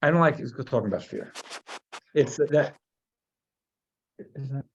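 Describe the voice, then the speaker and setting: An American rabbi from New Jersey leads a Torah class at a middling pitch. He often stalls and repeats small words before pressing on. The recording sounds dull and lo-fi. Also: lively intonation, a little hiss or hum. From New Jersey, USA. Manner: halting, many restarts and repeated words.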